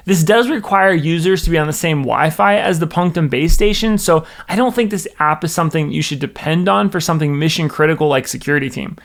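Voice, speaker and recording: American man with higher, creaky voice. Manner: instructional, methodical. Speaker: presenter, church production director. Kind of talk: scripted product review narration.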